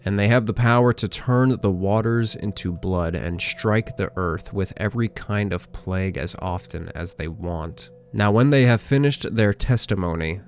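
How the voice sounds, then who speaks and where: A man narrating a scripted analytical video essay in a measured, conversational, explanatory way. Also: low voice, smooth. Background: background music.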